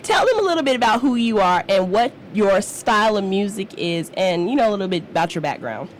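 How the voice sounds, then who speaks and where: A woman's voice: medium pitch, lively intonation, brisk, slight background noise. A woman hosting a live radio talk show, chatty and upbeat as she promotes events, often laughing. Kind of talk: casual live radio chat.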